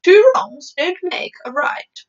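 A woman voicing a firm, commanding storybook queen with a measured, authoritative manner. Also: very high voice, big pitch swings, highs cut off, pitched above the performer's own voice, full character voice.

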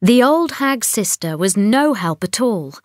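A woman reads a children's bedtime story as narrator. Her delivery is unhurried and very lively in pitch, recorded close and dry. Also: no room sound.

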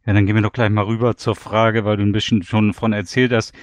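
A man's voice: lowish voice, flat delivery, quick pace, no room sound. A man hosting a casual podcast interview in conversational speech. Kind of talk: casual talk show chat.

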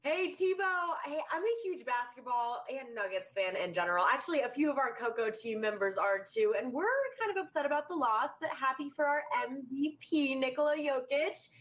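High-voiced upbeat young woman host. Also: expressive, normal speed, few pauses, a bit bright. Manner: upbeat, chatty. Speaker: TV show co-host. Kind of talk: lifestyle TV interview.

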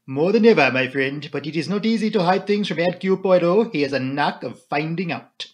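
A man voicing a keen, courtly foreign detective in a deliberate, knowing manner for an audiobook. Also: fairly high pitch, lively intonation, voice pushed up, close to an everyday voice. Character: keen, teasing, theatrical foreign male detective.

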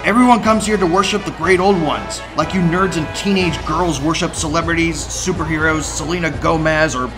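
A man's voice: a little high, normal speed, few pauses, lightly acted, low-quality recording. A man voicing cartoon characters, a cynical old scientist and his anxious teenage grandson, in an audio drama. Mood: cynical, dismissive. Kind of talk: fan-made cartoon audio drama acting. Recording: music and crowd behind the voice.

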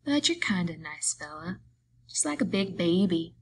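A woman's voice: on the low side, big pitch swings, moderate pace, a little hiss or hum. Woman acting a vain, chatty young farm wife. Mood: amused. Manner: complacent, casual folksy talk. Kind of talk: acted audiobook dialogue.

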